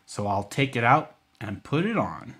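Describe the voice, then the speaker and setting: A man's voice: mid-range voice, very animated, normal speed, fairly bright tone, slightly rough. An American man hosting a children's storytime, speaking in a folksy, informal, coaxing way with frequent check-in questions. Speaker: library storytime host.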